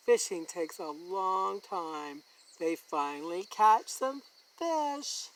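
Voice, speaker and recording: A woman hosts a children's read-aloud. She reads at a slow, deliberate pace with lively, expressive intonation, as if showing each thing to young listeners. There is some background noise in the recording. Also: lowish voice, rough recording. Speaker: story time host reading a picture book aloud.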